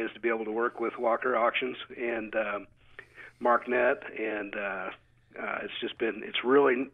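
Male phone guest, gravelly voice, tinny phone line, friendly and halting. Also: medium pitch. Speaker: real estate broker guest. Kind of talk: phone interview. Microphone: telephone.

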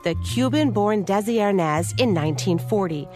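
A woman's voice: medium pitch, animated, moderate pace, a little mellow, soft background music. A woman co-hosting a podcast, reading scripted narration. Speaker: podcast co-host.

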